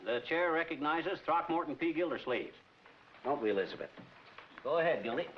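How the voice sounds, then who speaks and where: Man playing excitable club president, American, high voice, brash wisecracks, 1940s comedy film, noisy recording. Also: brisk, dull audio, lightly acted. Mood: brash, officious. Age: middle-aged. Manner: brash, wisecracking, mangles big words. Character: blustering, excitable small-town club president, cocky little man.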